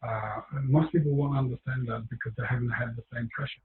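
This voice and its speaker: A man giving an informal talk about his book, hoarse and losing his voice, rambling with many fillers and restarts. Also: mid-range voice, fairly even intonation, a little fast, roomy sound, phone-quality sound.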